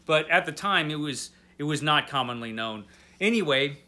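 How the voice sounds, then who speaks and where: Kinda drunk guy doing a rambling review, bright highish voice, tongue in cheek. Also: animated, moderate pace. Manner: tipsy, rambling. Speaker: host of a comic book read-along. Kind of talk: casual rambling review to camera.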